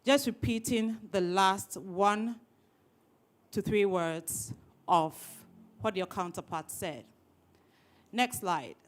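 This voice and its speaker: A woman leading a workshop session, lively and teasing, often repeating people's words back to them, speaking into a microphone to an audience. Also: medium pitch, slow pace, pauses often. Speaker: workshop trainer. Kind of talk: interactive training session. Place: training room with an audience.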